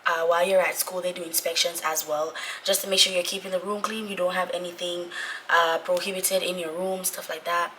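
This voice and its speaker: Raspy young woman vlogging, bright and forceful. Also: fairly low pitch, little pitch movement, brisk, a little hiss or hum, low-quality recording. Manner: informal, repetitive for emphasis. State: sick, voice going away. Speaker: vlogger, Navy corpsman trainee. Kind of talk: casual chatty vlog talk to viewers.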